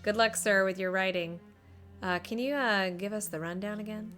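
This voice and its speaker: A woman's voice: medium pitch, moderate pace. A woman giving casual, playful live commentary as she streams a game. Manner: playful, animated. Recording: faint game music behind.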